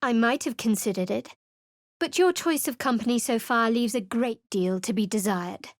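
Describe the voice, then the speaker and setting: A woman's voice: on the high side, moderate pace, no echo, close to an everyday voice. A woman voicing a guarded, sardonic young cleric adventurer in a video game, cool and wry, recorded on a close studio mic. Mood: cautious.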